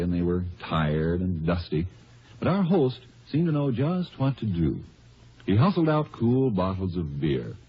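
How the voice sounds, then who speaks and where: A genial psychology professor giving a wry testimonial in a vintage radio ad. His voice is very husky and lowish, with lively rises and falls. The recording sounds dull. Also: full character voice. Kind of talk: 1950s radio ad narration.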